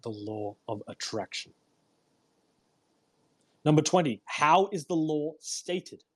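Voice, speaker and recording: A man with a husky voice hosting a read-aloud, delivering a book in an emphatic, deliberate way with informal commentary. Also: a little high, expressive. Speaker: host reading a self-help book aloud. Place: live online audio room broadcast.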